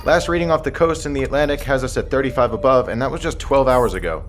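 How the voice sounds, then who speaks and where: A man's voice: mid-range voice, fast, close to an everyday voice. A male actor plays a cautious submarine second-in-command in an audio drama. His mid-range voice is formal and deferential, with firmness under it. Light music plays behind him. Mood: dutiful, formal. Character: dutiful, cautious male submarine executive officer.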